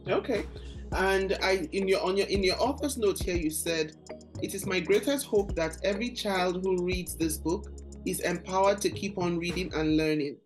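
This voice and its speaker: A woman's voice: on the low side, moderate pace, soft background music. A woman with a Nigerian accent hosting an interview podcast over a video call, enthusiastic, often repeating words as she searches for phrasing. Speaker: podcast host. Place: room with bookshelves and red sofa.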